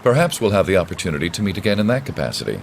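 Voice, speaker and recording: A man playing a composed, authoritative young nobleman in a video game, courteous and formal with quiet menace. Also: low-pitched, very animated, vocal fry, slight background noise, exaggerated voice. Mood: courteous, smooth. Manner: polished, measured formal speech. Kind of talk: dramatic video game voice acting.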